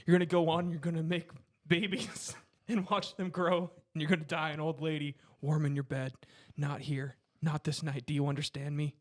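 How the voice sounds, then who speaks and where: A man's voice: on the high side, flat delivery, normal speed, hardly any pauses, close to an everyday voice. A man playing an earnest, devoted young lover, pleading solemnly and melodramatically in a mock film table read. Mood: urgent pleading.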